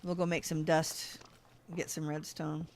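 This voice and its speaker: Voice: medium pitch, flat delivery, a little slow, a little hiss or hum. Chatty let's-play host thinking aloud. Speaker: gaming channel host. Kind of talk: casual let's-play commentary.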